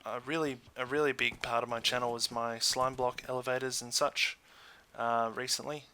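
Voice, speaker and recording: A man's voice: medium pitch, level tone, moderate pace, noisy background. A man hosting a gaming video with casual commentary, hesitant and thinking aloud as he goes.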